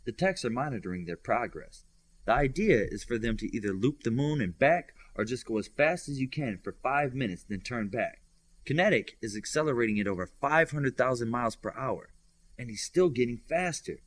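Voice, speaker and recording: A man's voice: medium pitch, animated, moderate pace, close to an everyday voice. A man voicing a young telepathic superhero girl who explains and reports things to her friends in an audiobook. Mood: reporting, excited. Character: telepathic superhero girl, informative, attentive student.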